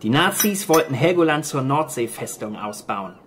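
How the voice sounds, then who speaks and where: A man's voice: mid-range voice, animated, normal speed, a bit bright, slight background noise, lightly acted. Dry, laconic male scientist played by a man. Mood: grim, explaining. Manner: laconic, teasing, factual. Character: dry, wry male island marine scientist. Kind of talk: naturalistic radio drama acting.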